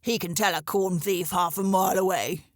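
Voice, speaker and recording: A woman voicing a quarrelsome, boastful old male crow in a children's storybook, hoarse and croaking, with a stern, accusing manner. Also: lowish voice, fairly even intonation, normal speed, no room sound, studio quality, natural voice.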